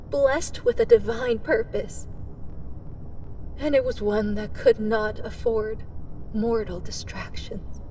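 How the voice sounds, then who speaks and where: A woman playing an embittered immortal hero, obsessed with her fated enemy, in a dramatic dark fantasy monologue that is brooding and often drops into breathy whispers. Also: fairly high pitch, far from the mic, noisy background, exaggerated voice. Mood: anguished, resentful.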